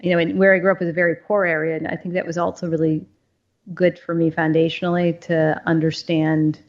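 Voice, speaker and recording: A woman's voice: fairly low pitch, flat delivery. A middle-aged woman from northern Maine, a company CEO, answering interview questions reflectively with many fillers and restarts. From northern Maine, USA. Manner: thoughtful, halting, many fillers. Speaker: CEO, podcast guest. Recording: dull lo-fi sound, faint music.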